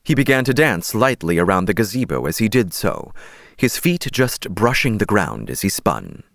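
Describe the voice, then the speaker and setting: A man's voice: fairly low pitch, very animated, moderate pace, vocal fry, fairly bright tone, dry recording, studio quality. A man narrating an audiobook story in a scripted storytelling style.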